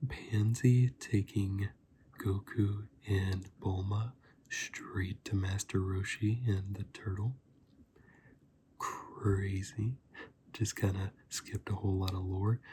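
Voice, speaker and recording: A man giving a softly spoken, often whispered ASMR review in a casual, hushed, breathy voice, repeating phrases for emphasis. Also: lowish voice, a little hiss or hum. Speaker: video host and reviewer.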